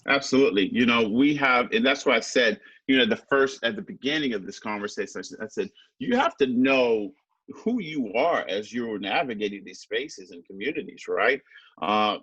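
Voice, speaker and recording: A man's voice: medium pitch, lively intonation, a little fast, fairly bright tone, husky, dry recording, lo-fi sound. A middle-aged man from Haiti, a teacher answering student interview questions thoughtfully, with many fillers and a habit of adding "right?".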